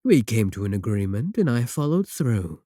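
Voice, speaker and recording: A man playing a sly, sadistic warlock in a roleplay audio drama, smug and possessive, with taunting, deliberate phrasing. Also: fairly low pitch, big pitch swings, dry recording, studio quality. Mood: mocking, menacing. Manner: taunting, drawn-out, deliberate phrasing with dark chuckles. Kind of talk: scripted roleplay audio drama, spoken to the listener.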